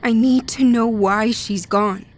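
A woman's voice: on the high side, fairly even intonation, slow. A woman playing a flustered grieving niece. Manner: flustered, awkwardly blurts things out. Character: tired, scattered young woman grieving her aunt.

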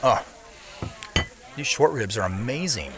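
An actor playing a busy, self-deprecating young tech CEO in a modern audio drama, talking in a casual, wry way. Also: male voice, medium pitch, animated, measured pace, a loud crowd. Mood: upbeat, casual.

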